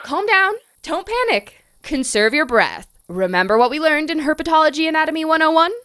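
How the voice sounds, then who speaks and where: A woman's voice: sits high, lively intonation, brisk, a bit bright, no room sound, studio quality. A woman playing an earnest, anxious scientist who gives urgent, commanding instructions in an audio drama. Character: earnest, urgent young scientist coaching a trapped friend.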